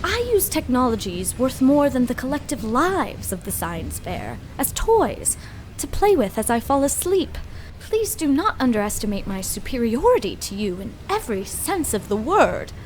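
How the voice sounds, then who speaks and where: A woman's voice: on the high side, big pitch swings, normal speed, darkish tone, soft background music, poor audio. A woman playing an arrogant, condescending mad scientist in a comedic audio drama, speaking in a grandiose, verbose way. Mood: scornful. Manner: haughty, verbose, theatrical.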